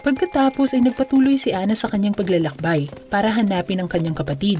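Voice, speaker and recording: A woman's voice: medium pitch, fast, a little mellow. A woman reading scripted fairy tale narration for children. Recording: music under the voice. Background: background music.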